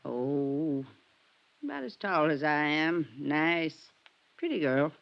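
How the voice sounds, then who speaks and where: A weary, wary landlady in an old radio drama. She talks slowly, in clipped, ungrammatical bits, with a creaky edge. The recording is muffled, like 1950s radio. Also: low voice, expressive, full character voice. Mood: grudgingly helpful. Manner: terse, ungrammatical, suspicious. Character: weary, guarded landlady of a shabby rooming house.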